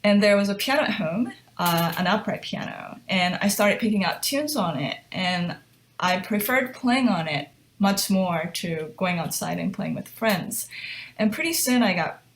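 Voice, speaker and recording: A middle-aged woman from Japan speaks as a guest pianist in an interview, her voice sitting at an ordinary middle pitch. She tells her story reflectively and breaks into light laughs between thoughts. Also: expressive, unhurried.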